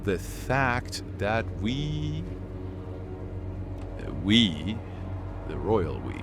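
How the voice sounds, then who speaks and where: An actor playing a weary, preoccupied corporate man in an audio drama, halting and stammering as he talks. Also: fairly low pitch, sing-song, background noise, full character voice. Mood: bitter, stressed. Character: weary, distracted corporate man under pressure. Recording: music behind the voice.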